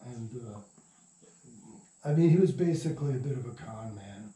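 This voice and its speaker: A man's voice: mid-range voice, expressive, mellow. Older man reminiscing, distant and echoey. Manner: rambling, halting, chuckling raconteur. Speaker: interview guest, radio producer and sound recordist. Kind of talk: casual anecdotal storytelling. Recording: roomy echo, distant from mic.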